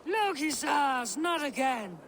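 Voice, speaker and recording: A woman's voice: sits high, big pitch swings, slow pace, a bit bright, a little hiss or hum, exaggerated voice, rough recording. A woman playing an exasperated, commanding Norse port overseer in a video game, giving curt orders. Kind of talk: video game cutscene acting.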